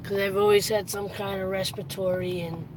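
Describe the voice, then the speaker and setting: A raspy-voiced live streamer with heavy breathing, rambling casually while driving a car. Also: mid-range voice, level tone, brisk. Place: inside a moving car. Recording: road and car noise.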